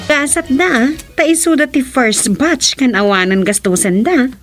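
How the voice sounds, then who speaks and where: A woman's voice: sits high, lively intonation, unhurried, faint music behind. A woman playing a worried, fretful townswoman in an Ilocano radio comedy drama, speaking with an Ilocano accent. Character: worried, fretful townswoman wary of illegal recruiters.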